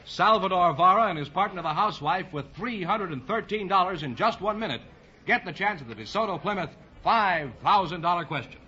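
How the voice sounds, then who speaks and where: A man's voice: sits high, expressive, brisk, background noise. A man announcing results as the sidekick on a live 1950s radio quiz show. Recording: dull old radio with loud studio crowd.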